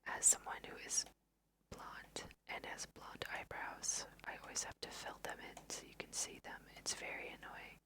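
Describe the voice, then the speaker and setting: A woman softly whispering ASMR game commentary, thinking aloud in an unhurried way as she guides listeners through her play. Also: rough recording. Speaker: let's play host.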